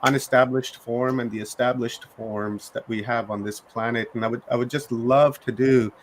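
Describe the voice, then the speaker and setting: A man's voice: medium pitch, fairly even intonation, normal speed, a little hiss or hum, rough recording. A man hosting an online conference who praises the speakers in a gushing, effusive way, with many fillers. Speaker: conference host. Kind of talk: casual online chat.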